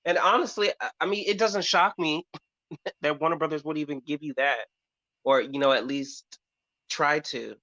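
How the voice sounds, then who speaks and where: Bright, higher-voiced sassy man. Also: normal speed, dry recording, lo-fi sound. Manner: sassy, slangy, blunt. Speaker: host on a pop culture panel show. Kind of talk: casual chat.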